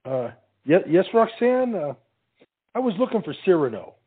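A man's voice: high-pitched, big pitch swings, normal speed, slightly rough, exaggerated voice. A man playing an anxious, dim young soldier suitor who hesitates and stammers in a stage comedy. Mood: nervous, evasive. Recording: muffled, tinny narrow-band sound.

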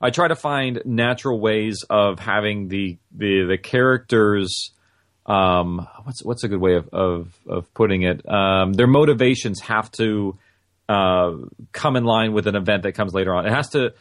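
Low-voiced man explaining his craft, close and dry. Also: moderate pace, no room sound. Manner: thinks aloud, illustrates with imagined quotes. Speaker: guest, audio-drama writer and producer. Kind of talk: conversational interview, explaining craft.

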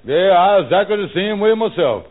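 A scheming, smooth-talking lodge boss from a 1940s radio comedy. He wheedles in a folksy, fairly high voice that swings up and down with mock concern. The sound is narrow and tinny, like an old broadcast. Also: animated, normal speed. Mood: ingratiating. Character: scheming, smooth-talking male lodge leader, a fast-talking conniver.

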